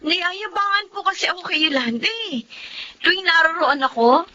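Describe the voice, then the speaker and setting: A woman's voice: extremely high pitch, expressive, normal speed, pushed and bright, heavily acted. A woman with a Filipino accent playing a modest, touchy wife who complains plaintively in a radio drama. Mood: aggrieved, plaintive. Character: modest, touchy young wife, resentful of show-offs. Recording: dull lo-fi audio with faint noise.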